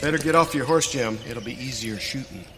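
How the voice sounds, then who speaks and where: A man's voice: mid-range voice, brisk, close to an everyday voice. A man playing a plain-spoken frontier hunter in live radio theater, with folksy, rustic western talk. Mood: folksy, musing. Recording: reverberant hall, faint noise.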